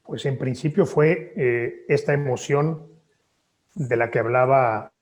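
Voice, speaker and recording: A man, a poet and native Spanish speaker, speaking thoughtfully at a book presentation with measured hesitations. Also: medium pitch. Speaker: poet and author. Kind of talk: reflective talk at a book presentation.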